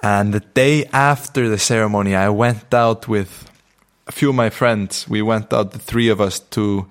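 Soft-spoken Icelandic man, low voice. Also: fairly even intonation, moderate pace. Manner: reflective, spiritual wording, many fillers and restarts. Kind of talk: casual personal storytelling.

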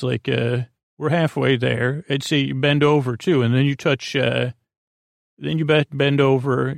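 A man hosting a bedtime podcast in a gravelly voice, meandering haltingly and often trailing off mid-thought. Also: mid-range voice, no room sound, clean studio sound. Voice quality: creaky, gravelly. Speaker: podcast host. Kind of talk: rambling bedtime monologue.

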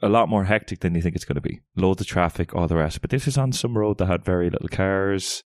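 A man co-hosting a casual podcast chat, joking a lot, swearing freely and dropping deadpan asides. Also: low voice, quick pace, no room sound, clean studio sound. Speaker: podcast co-host.

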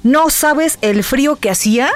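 A man's voice: extremely high pitch, a little slow. A man hosting a radio show, chatting casually and teasing his co-hosts. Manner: teasing, joking. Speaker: radio host.

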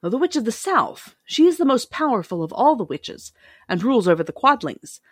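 Woman as a timid male soldier, storybook character, helpful, cautious, varied pitch, dry studio-like sound. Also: sing-song, normal speed, breathy edge, dry recording. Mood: informative. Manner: cautious, informative.

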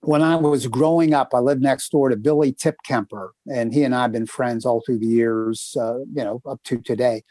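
A man's voice: medium pitch, fairly even intonation, normal speed, hardly any pauses, mellow, dry recording. Chatty man with a dry close voice. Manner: chatty storyteller, many fillers, friendly plugs. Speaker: co-host. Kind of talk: casual podcast chat. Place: home in northern Kentucky.

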